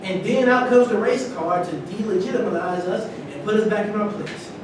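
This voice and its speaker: A man's voice: normal speed, close to an everyday voice. A man playing an argumentative, embittered former football star in a staged reading of a play. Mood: bitter, insistent. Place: large reverberant room at a staged reading. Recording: very echoey hall, distant mic, rumbling noise.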